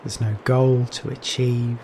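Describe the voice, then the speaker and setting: A man leading a guided meditation in a gentle, soothing manner, leaving long pauses between phrases. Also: mid-range voice. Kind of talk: guided Yoga Nidra meditation. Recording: steady rain noise behind voice. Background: rain sounds.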